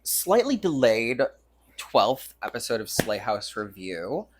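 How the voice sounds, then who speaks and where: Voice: medium pitch, very animated, slow pace. A podcast co-host talking in casual comedic banter, with a rambling, irreverent, slangy manner. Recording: faint air conditioner hum in background.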